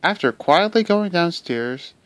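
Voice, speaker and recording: A man narrating a fan audio drama in an expressive storybook manner. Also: fairly high pitch, a little slow. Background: loud music.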